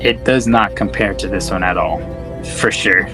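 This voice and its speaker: A man's voice: fairly low pitch, steady tone, moderate pace, music in the background. A man co-hosting a podcast in a casual, laid-back film chat, slangy and prone to swearing. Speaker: podcast co-host.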